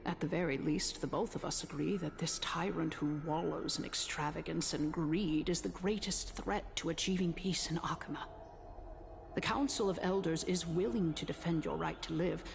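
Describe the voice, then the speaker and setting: An imperious female elder of a council in a video game. Her voice is fairly low with plenty of gravel. She speaks formally and gravely, like a schemer giving an oration, over faint noise.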